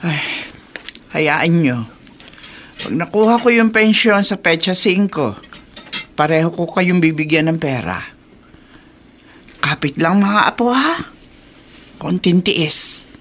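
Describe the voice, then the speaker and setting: A woman's voice: on the low side, animated, slow pace, pauses often, a little hiss or hum. A woman playing a caring, worried elderly grandmother with a Filipino accent and a sighing, motherly manner in a Tagalog radio drama. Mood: caring, resigned. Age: older.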